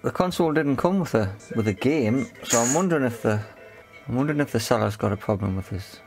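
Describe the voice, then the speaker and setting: A man's voice: mid-range voice, lively intonation, fast talker, creaky, a little mellow, soft background music. A man giving casual, unscripted commentary on a hands-on repair, thinking aloud in short phrases. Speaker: host of an electronics repair video.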